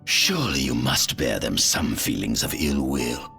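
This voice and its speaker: An actor playing a sly, coaxing old male scientist-official in a video game cutscene, with gravelly, calculated persuasion. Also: rumbling low, lively intonation, measured pace, full character voice. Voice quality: gravelly, creaky. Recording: loud orchestral music behind.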